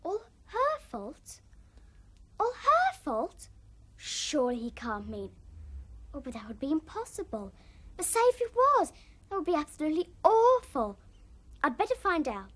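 A woman voicing a plucky, cheeky young boy in a cartoon, exclaiming brightly. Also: high voice, huge pitch swings, a little slow, pauses often, exaggerated voice. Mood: worried. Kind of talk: cartoon voice acting.

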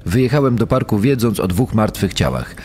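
A man playing a sober deputy sheriff with a husky voice, recounting a case in an audio drama. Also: on the low side, lively intonation, brisk, darkish tone. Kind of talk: dramatized testimony.

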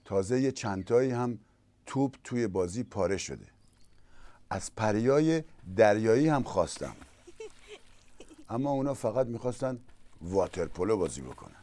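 A man voicing a sad, lonely dragon who wants a tennis partner, speaking quickly and eagerly. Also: mid-range voice, expressive, dark tone, close to an everyday voice.

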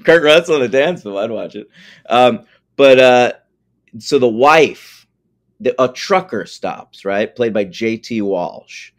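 A man's voice: medium pitch, expressive, slow pace. A man in his forties co-hosting a casual film podcast, wry and rambling, with casual swearing. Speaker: podcast co-host.

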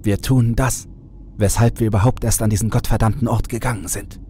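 A man voicing a hard-edged young female drug user in a horror audiobook, curt and trying to stay calm. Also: on the low side, brisk, a little hiss or hum, pitched above the performer's own voice, natural voice. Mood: determined. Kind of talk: acted horror audiobook dialogue.

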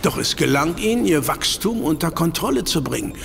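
A calm, learned professor who lays out his case in a patient lecturing manner. His voice sits a touch high and carries gravel. The audio-drama recording has a long reverb and faint hiss. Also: fairly quick, exaggerated voice. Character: learned older male professor, calm explainer. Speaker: professor explaining a crisis. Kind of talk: German audio drama acting.

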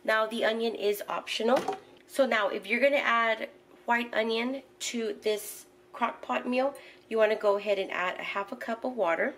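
A woman's voice: fairly high pitch, moderate pace, fairly bright tone, a little hiss or hum. Woman walking through a home recipe. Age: middle-aged. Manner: instructional, walks through each step with fillers. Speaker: home cooking channel host. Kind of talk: casual step-by-step cooking narration. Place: home kitchen.

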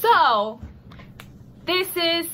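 A woman's voice: very high-pitched, big pitch swings, very slow, fairly bright tone, soft background music. A young woman whose first language is Spanish, telling a travel story in a casual vlog, upbeat and chatty. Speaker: vlogger.

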